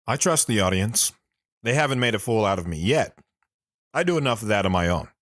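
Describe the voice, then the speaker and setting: A man's voice: lowish voice, animated, a little fast, a bit bright. An actor playing a mild, self-deprecating man who tells first-person stories of his youth in an audio drama. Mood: mild, wry. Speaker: storytelling radio show guest.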